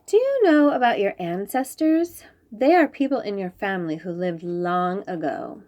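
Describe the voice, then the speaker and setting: A young woman reading a children's picture book aloud, slowly and clearly explaining words. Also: mid-range voice, dull audio. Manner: slow, clear and expressive, explaining fancy words.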